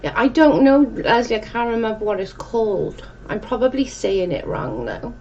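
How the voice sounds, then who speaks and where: A woman's voice: on the high side, very animated, moderate pace, a little mellow. Welsh woman chatting over muffled, noisy audio. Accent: South Welsh. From South Wales. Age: 40s. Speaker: livestream host. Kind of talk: casual chat with live viewers, reading out comments. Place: dim indoor room at home. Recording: muffled, clearly noisy room recording.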